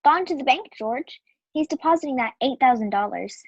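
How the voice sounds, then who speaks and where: A woman playing an excitable, fretful office clerk in an old-time radio drama. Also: high-pitched, a little fast, husky, dry recording, highs cut off, heavily acted. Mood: anxious.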